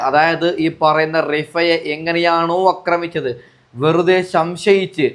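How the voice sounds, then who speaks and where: Man, fairly high voice, even intonation, indignant vlogger commentary, repetitive. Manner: indignant, repetitive, rhetorical questioning. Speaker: vlogger commenting on social media disputes. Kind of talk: accusatory commentary addressed to viewers.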